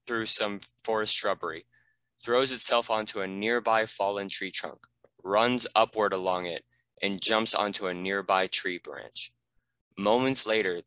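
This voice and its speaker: A man's voice: on the low side, sharp tone, no room sound. A man reading stage directions as narrator, in a scripted narration style.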